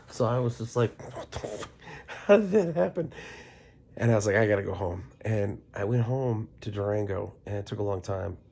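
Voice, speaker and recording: A man's voice: lowish voice, vocal fry, a little mellow, slightly rough. A middle-aged American man telling a casual comedic personal story in a dramatic, self-deprecating way, sometimes dropping to whispery asides.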